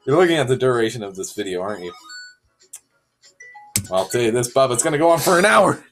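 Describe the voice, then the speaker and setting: A young man giving goofy, self-mocking commentary while playing a game, sick and sounding deeper than usual. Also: very animated, fast talker, soft background music. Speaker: gamer. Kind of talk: casual gameplay commentary.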